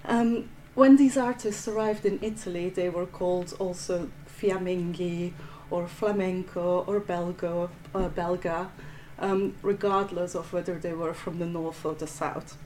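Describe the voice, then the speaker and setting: A woman from Flanders with a Flemish accent, a museum curator giving a measured art history lecture with frequent restarts. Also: fairly low pitch, steady tone, mellow, a little hiss or hum, low-quality recording. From Belgium (Flanders). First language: Dutch. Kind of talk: prepared lecture.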